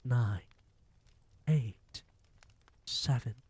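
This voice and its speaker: A man voicing a scheming old female witch leader in an audiobook, speaking slowly with a gloating, sometimes hissing delivery. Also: mid-range voice, darkish tone, breathy edge, dry recording. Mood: counting down. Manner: slow, gloating, hissing at times. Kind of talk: audiobook reading.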